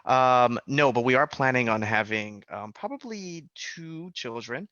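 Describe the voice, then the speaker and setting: Bright-voiced man on a lo-fi call. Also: mid-range voice, measured pace, no echo. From Hawaii. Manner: relaxed, hesitant, many ums and restarts. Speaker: career adviser running a mock interview. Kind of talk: casual mock interview role play. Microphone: video call. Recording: dull lo-fi sound, dry.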